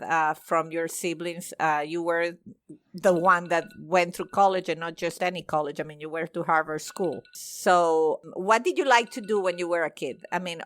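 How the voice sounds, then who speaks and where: A woman's voice: on the low side, a bit bright, no echo. A woman hosting a podcast interview, gushing with praise and often restarting her sentences. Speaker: podcast host.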